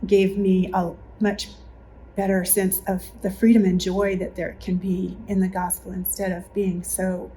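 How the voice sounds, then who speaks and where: A woman's voice: medium pitch, little pitch movement, unhurried, mellow, rough recording. A woman, a church member, reflecting on her memories in a group interview. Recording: faint background noise.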